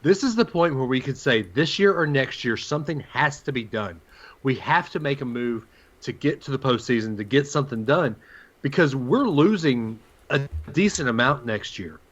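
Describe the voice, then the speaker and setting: A man's voice: medium pitch, animated, moderate pace, dull audio. A man co-hosting a sports talk show, giving his opinions and putting questions in a conversational way.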